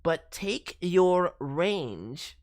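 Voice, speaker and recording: Low-voiced woman giving coaching critique. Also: big pitch swings, drawn-out words, a little mellow. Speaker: voice director reviewing demo reels. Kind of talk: casual spoken critique with advice.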